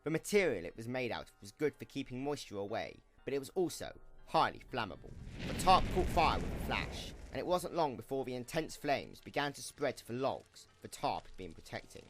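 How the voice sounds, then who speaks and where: Male narrator for an audio drama: expressive, dramatic read of scripted story over background music. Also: medium pitch, fairly quick, hardly any pauses.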